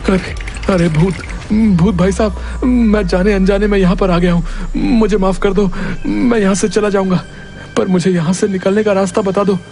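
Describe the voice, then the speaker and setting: A naive young cartoon hero played by a man, high in pitch and full of wonder. He exclaims and talks to himself like someone easily startled, with prominent music behind him. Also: steady tone, normal speed, a little mellow, natural voice. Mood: frightened. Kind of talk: Hindi cartoon story voice acting.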